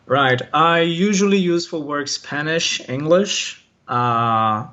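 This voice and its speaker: A man's voice: a little high, takes it slowly, sharp tone, dull audio. A Brazilian man whose first language is Portuguese, an online language teacher answering interview questions in a hesitant way, with many fillers and restarts, over a video call.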